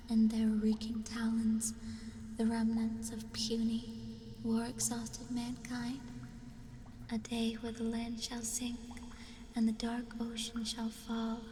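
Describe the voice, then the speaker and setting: A haunted, terrified narrator of an old horror tale, acted by a woman. The delivery is slow, breathy and full of dread. The voice is slightly high and hangs in a long reverb. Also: dark tone, lightly acted. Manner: slow, breathy, ominous, intense. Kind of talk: dramatic horror story reading. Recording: long cavernous reverb, faint noise.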